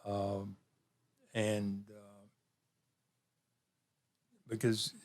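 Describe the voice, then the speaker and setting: Low-voiced man in his seventies, measured. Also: completely flat delivery. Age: 70s. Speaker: interview guest, community program leader. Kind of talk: reflective interview conversation.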